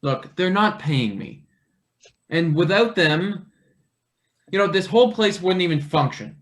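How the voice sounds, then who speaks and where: Actor as young male intern, higher-pitched, animated swings, cheeky, sarcastic, indignant, stage comedy. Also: a little slow. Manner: sarcastic, protesting. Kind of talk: live table read of satirical stage play.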